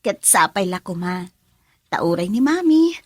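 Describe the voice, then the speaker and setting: An actress playing an affectionate young student daughter in love, in an Ilocano radio drama. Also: female voice, lowish voice, big pitch swings, measured pace, breathy edge, full character voice.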